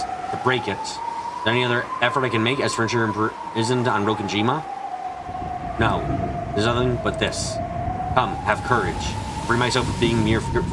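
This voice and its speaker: A man's voice: on the low side, very noisy. A man reading a visual novel aloud as dramatic scripted narration on a stream.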